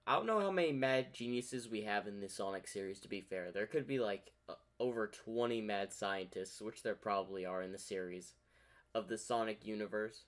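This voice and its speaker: A man's voice: lowish voice, normal speed. A man giving casual fan commentary as the host of a reaction video.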